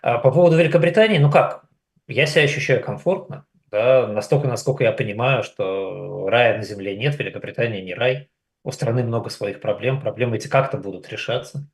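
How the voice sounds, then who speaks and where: A man from Russia speaking as an interview guest, giving conversational analysis in a measured, wry way. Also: mid-range voice.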